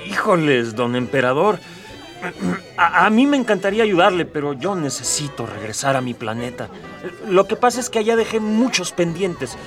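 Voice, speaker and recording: A man's voice: a little high, sing-song, normal speed, a bit bright, distant mic, soft background music. An actor with a Mexican accent playing a humble everyman hero in a radio drama, talking colloquially and a bit hesitantly. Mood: polite, hesitant. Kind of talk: scripted radio drama acting.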